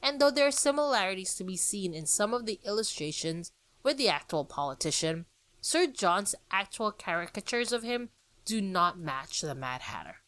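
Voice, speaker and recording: A woman's voice: mid-range voice, lively intonation, moderate pace, a bit bright, dry recording. A woman hosting a podcast, talking informally about the history of a classic book's illustrations and speaking directly to her listeners. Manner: chatty, friendly, addresses listeners directly. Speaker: podcast host.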